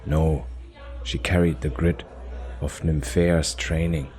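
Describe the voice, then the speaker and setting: A man's voice: a bass voice, fairly even intonation, slow, darkish tone, soft background music. A man narrating a fantasy audio drama in a scripted, dramatic storytelling style.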